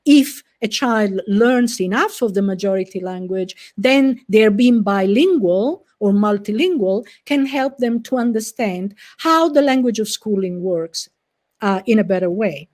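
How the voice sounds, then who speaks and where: A middle-aged woman, presenting research in a measured, explanatory way with frequent fillers and restarts. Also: medium pitch, lively intonation, a little mellow. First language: Italian. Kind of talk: recorded conference presentation.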